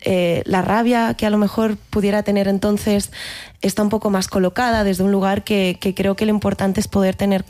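A woman's voice: medium pitch, level tone, moderate pace, a little mellow. A woman in her thirties with a mixed Catalan and Madrid accent in Spanish, answering interview questions in a reflective, informal way. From Barcelona, Spain. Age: 30s. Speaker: actress, interview guest.

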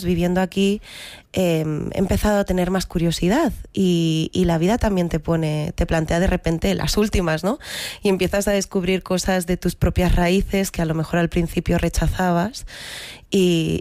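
A woman's voice: fairly low pitch, a little mellow, dry recording. A woman with an accent from Spain, an actress talking reflectively and conversationally as an interview guest. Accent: Spanish from Spain. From Barcelona, Spain.

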